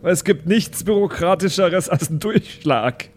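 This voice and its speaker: A man playing a polite, diplomatic adventurer with measured, careful speech in an improvised audio play. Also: high voice, animated. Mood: formal, careful. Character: polite, diplomatic male adventurer negotiating contracts.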